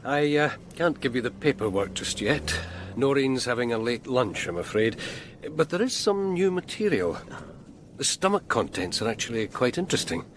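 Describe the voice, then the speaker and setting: An actor playing a genial Scottish pathologist in a radio drama, dryly humorous, with a hesitant, measured delivery. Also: male voice, mid-range voice, very animated, background noise, lightly acted. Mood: chatty, informative. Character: chatty, genial male pathologist reporting findings.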